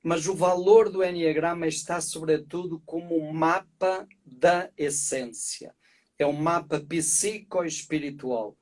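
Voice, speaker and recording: A middle-aged man from Portugal, a priest, explaining calmly and reflectively as a guest on a live video call. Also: fairly high pitch, slow. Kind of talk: live-stream conversation.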